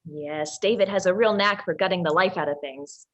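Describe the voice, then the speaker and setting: A woman playing a mean, sweetly mocking young gothic sister in a theatrical script reading, with drawn-out delivery. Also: fairly low pitch, lo-fi sound, close to an everyday voice. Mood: morbid taunting.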